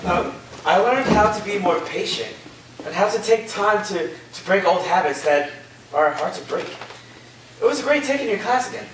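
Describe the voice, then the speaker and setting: A man's voice: high voice, expressive, normal speed. A man playing a laid-back returning college student, speaking casually and a bit hesitantly in a staged play reading. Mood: sincere. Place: echoey room, staged reading. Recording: very echoey room, far off, clear noise.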